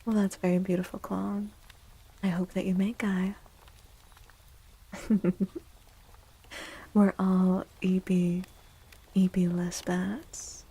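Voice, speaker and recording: Female ASMR livestream host: hushed, mellow, breathy delivery with gentle, soothing manner and frequent whispering. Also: medium pitch, little pitch movement, takes it slowly, pauses often. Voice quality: breathy, often dropping into a whisper. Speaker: livestreamer hosting an ASMR stream. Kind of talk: soft-spoken ASMR, chatting with viewers. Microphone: close microphone.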